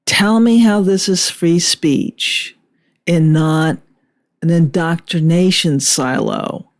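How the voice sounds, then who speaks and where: Woman reading out a commentary script, really slow and measured, kinda low voice. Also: lively intonation. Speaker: video commentator. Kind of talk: scripted political commentary narration.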